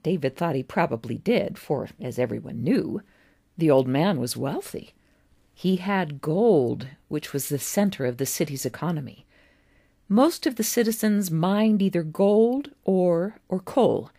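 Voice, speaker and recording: A woman narrating a story as its author, in a measured, deliberate storytelling manner with pauses between phrases. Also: lowish voice, lively intonation, mellow, slightly rough, no echo, studio quality. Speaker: author reading own story.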